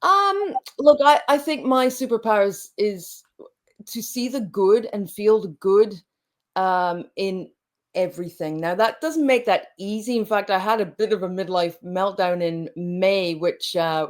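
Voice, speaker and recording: A woman in her late forties from Scotland, a coach speaking reflectively as an interview guest, with frequent restarts. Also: mid-range voice. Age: late 40s. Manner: reflective, halting, many restarts. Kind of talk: conversational interview.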